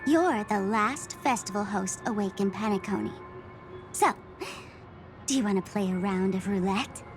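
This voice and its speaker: A woman's voice: medium pitch, normal speed, natural voice. A woman voicing a playful, mocking masked trickster in a game dub, theatrical and fond of her own jokes. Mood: teasing. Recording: game cutscene with music underneath.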